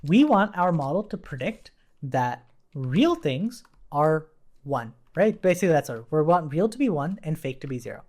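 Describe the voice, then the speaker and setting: Man, programming instructor, animated pitch movement, informal tutorial delivery, thinking out loud. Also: moderate pace. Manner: informal, thinking aloud while typing. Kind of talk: casual coding tutorial narration.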